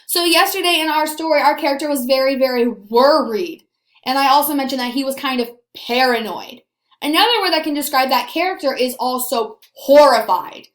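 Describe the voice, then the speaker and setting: High voiced lady teacher doing a read-aloud for little kids, asks questions, roomy echo. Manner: slow, deliberate emphasis with questions to pupils. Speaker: elementary school teacher. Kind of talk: read-aloud lesson for young children. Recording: roomy, echoey sound.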